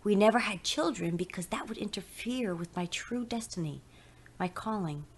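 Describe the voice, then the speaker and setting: A woman voicing a devout, excited and determined wife in an audio story, speaking with insistent enthusiasm. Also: medium pitch, normal speed, breathy edge. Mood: fervent.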